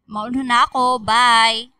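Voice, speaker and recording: A woman's voice: fairly high pitch, level tone, slow pace, sharp tone, clear, resonant tone, no room sound, studio quality. A woman playing an anxious, testy teenage schoolgirl in a Filipino radio drama. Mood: irritated.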